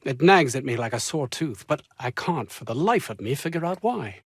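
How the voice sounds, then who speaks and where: An actor playing a pompous, ambitious, anxious research scientist in a video game, speaking with haughty, fussy, old-fashioned formal diction. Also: male voice, mid-range voice, expressive, normal speed, slightly rough, no room sound, studio quality. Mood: relieved, troubled. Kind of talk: theatrical game dialogue.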